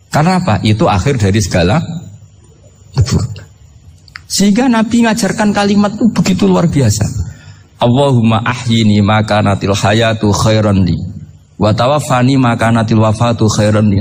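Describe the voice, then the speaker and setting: A man's voice: medium pitch, a little mellow, a little hiss or hum. A man with a Javanese Indonesian accent giving an informal Islamic lecture, conversational, putting rhetorical questions to his listeners and mixing in Arabic quotations. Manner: mixes Arabic quotations and regional words into speech. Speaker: Islamic preacher. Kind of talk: informal religious lecture to an audience.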